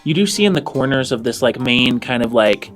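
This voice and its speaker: A man's voice: mid-range voice, expressive, fairly quick, a bit bright. Casual man narrating as game master. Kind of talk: improvised tabletop game narration. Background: faint music.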